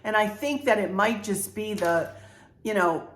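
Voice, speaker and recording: A woman's voice: medium pitch, a little slow. An older woman, chatting bubbly and congested as a home decor vlogger talking straight to her viewers. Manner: bubbly, talks straight to viewers, warm fillers. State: congested, clearing throat. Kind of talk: casual chatty vlog. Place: home interior room. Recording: echoey room.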